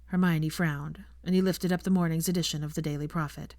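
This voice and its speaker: Low-voiced woman narrating, very dry sound. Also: steady tone, fairly quick, a little mellow, no room sound. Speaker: fan fiction narrator. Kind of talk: scripted story narration.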